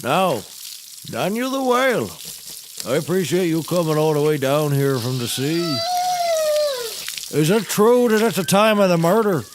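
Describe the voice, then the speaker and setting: A man's voice: on the high side, sing-song, measured pace, long pauses, background noise. A man playing a pompous, folksy, bumbling defence lawyer with theatrical, rambling courtroom patter in a scripted comedy. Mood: probing.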